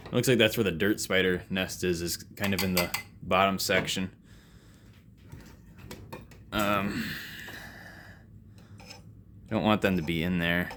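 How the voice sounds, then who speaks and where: A man's voice: low voice, fairly even intonation, a little slow, long pauses, background noise. A man giving casual, unscripted, hands-on commentary as a vlog host, rambling and self-deprecating, recorded in a basement workspace. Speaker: host of an electronics repair vlog.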